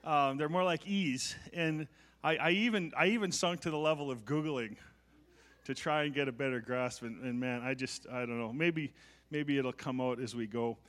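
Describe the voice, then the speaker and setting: A middle-aged male pastor preaching a conversational sermon in church, self-deprecating and warm-humored, with frequent restarts. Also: mid-range voice, normal speed.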